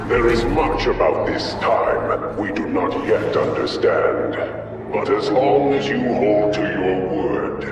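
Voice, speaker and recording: An actor playing a proud, wary robotic alien warrior in a video game, speaking in a formal, measured, stern way. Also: male voice, on the low side, lively intonation. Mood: solemn. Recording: distant, long echoing reverb, music behind.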